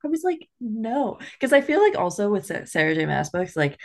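A woman's voice: medium pitch, very animated, normal speed. A woman co-hosting a book podcast, chatting casually and wryly about her opinions, with lots of "like". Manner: informal, wry, frequent "like".

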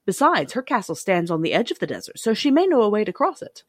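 Woman as a timid male soldier, storybook character, helpful, cautious, varied pitch, dry studio-like sound. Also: very animated, fast, dry recording. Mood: informative. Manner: cautious, informative.

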